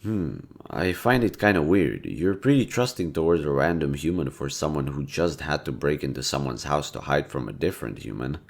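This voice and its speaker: A young man with a gravelly, fry-heavy voice, relaxed and gently teasing. He speaks softly to a listener, as in an intimate audio roleplay. His pitch sits very low throughout. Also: normal speed, hardly any pauses. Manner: laid-back, teasing, soft-spoken. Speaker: voice actor.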